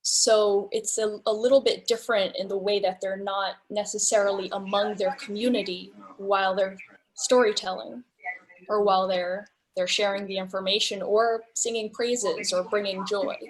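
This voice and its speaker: A woman's voice: medium pitch, steady tone, moderate pace, low-quality recording. A woman explaining something in a conversational way to fellow participants in a discussion. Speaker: book club discussion participant. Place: virtual discussion video call.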